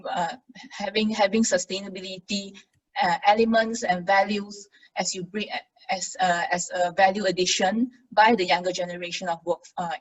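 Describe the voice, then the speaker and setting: A woman speaking as an academic panellist on a webinar, halting with many fillers. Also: on the low side, low-quality recording. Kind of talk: webinar talk. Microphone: video call.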